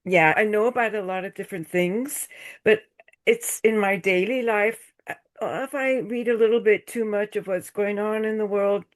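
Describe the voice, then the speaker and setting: An older woman from the United States, a guest on a video call, speaking slowly and searching for her words. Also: mid-range voice, fairly even intonation. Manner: slow, thoughtful, searching for words, drops to a whisper. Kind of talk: casual chat on a group video call.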